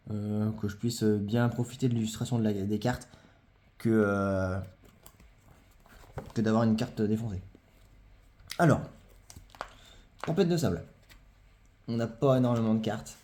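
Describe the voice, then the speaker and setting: A man's voice: on the low side, mellow. A man casually showing his card collection, talking hesitantly with many fillers and repeated phrases. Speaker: collector presenting his trading cards. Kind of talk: casual show-and-tell vlog.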